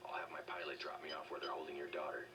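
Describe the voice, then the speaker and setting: A man playing a stoic young cyborg government agent in a video game, with deadpan, clipped delivery. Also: extremely low pitch, rapid-fire. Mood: flat resolve. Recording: noisy, distant-sounding game capture.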